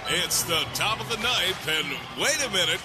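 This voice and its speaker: A man's voice: sits high, animated, normal speed, over a noisy crowd, very noisy. A man playing a dramatic mock sportscaster with exaggerated delivery in a comic radio commercial. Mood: mock excitement.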